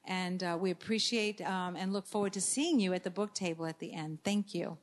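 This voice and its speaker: A woman's voice: lowish voice, brisk, background noise. A woman from Maine giving an earnest church talk about books and ministry resources, with frequent fillers and restarts. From Maine, USA. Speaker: ministry speaker promoting books.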